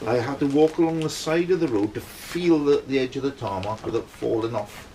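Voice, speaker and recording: A man's voice: mid-range voice, brisk, dark tone, slight background noise, poor audio. Welsh man telling a rambling story. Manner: rambling, keeps checking in with "right?". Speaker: band member telling a story. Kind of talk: casual storytelling.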